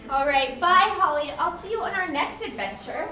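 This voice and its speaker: Woman as encouraging guide, animated delivery, instructive, puppet show, distant and noisy recording. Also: high-pitched, moderate pace. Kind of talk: live children's educational puppet show. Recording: echoey hall, distant mic, background noise.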